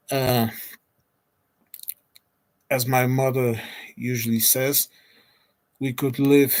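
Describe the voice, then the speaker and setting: Portuguese-accented man in his forties; slow, hesitant livestreamer who restarts phrases. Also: medium pitch. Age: 40s. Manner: hesitant, pauses often with restarts and fillers. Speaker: streamer. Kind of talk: unscripted solo livestream chat.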